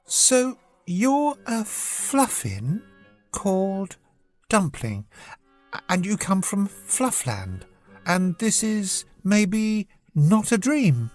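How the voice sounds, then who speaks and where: Narrator putting on a high, curious little girl. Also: very animated, extremely slow, darkish tone, soft background music. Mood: chatty, wondering. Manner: inquisitive, earnest. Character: curious, chatty seven-year-old girl.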